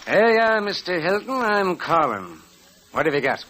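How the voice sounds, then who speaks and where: A slick card sharp in an old radio Western, sly and falsely courteous. His pitch moves freely, and the old recording has a faint hiss. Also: big pitch swings, moderate pace. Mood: cool. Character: slick, cool crooked gambler in a Western saloon. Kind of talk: 1940s radio western acting.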